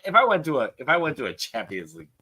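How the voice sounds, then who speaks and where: Chicago guy, sharp tense voice, excitable, swears, stammers, joining remotely. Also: fairly high pitch, very fast, breathy edge. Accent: American. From Chicago, United States. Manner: excitable, swears a lot, stammers and repeats himself. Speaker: podcast co-host. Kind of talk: casual livestream banter.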